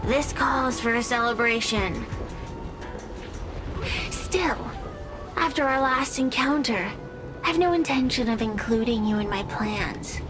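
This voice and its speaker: A woman's voice: on the high side, slow, pauses often. A woman voicing a scheming, gloating female spirit villain in a video game, with a haughty, theatrical delivery. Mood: mocking, smug. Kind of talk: scripted video game voice acting. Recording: voice under prominent music.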